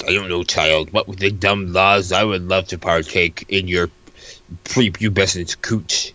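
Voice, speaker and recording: Low, bright-edged man reading comically. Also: fairly even intonation, measured pace, highs cut off. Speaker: co-reader of a comic. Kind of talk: comedic character reading, improvised.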